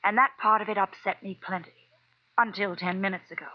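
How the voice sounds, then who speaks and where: A woman's voice: mid-range voice, steady tone, a little fast, close to an everyday voice. An actress playing a shrewd, defiant gangster's girlfriend with an American accent and a pointed 1940s radio drama delivery. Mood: bitter. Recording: muffled old radio recording.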